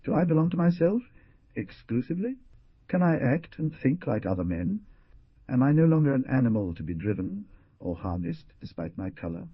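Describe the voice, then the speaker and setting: A man's voice: mid-range voice, sing-song, normal speed, breathy edge, dry recording, pitched above the performer's own voice. A man voicing a newly freed man who is overjoyed and grateful, speaking in fervent, pleading exclamations. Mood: eager. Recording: dull lo-fi recording.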